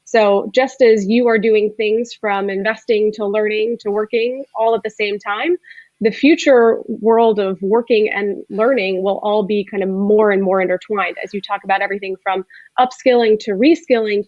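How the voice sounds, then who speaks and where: A woman in her thirties, a startup co-founder, giving clear, explanatory answers in a conversational interview. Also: medium pitch, steady tone, moderate pace, darkish tone, no echo, lo-fi sound. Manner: articulate, explanatory, frequent "you know".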